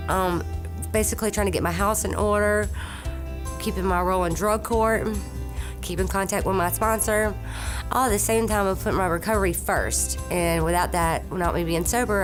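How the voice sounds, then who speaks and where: A woman speaking earnestly about her recovery as a drug court participant, with an occasional hesitant um. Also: lowish voice. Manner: earnest, a few hesitant ums. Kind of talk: testimonial statement.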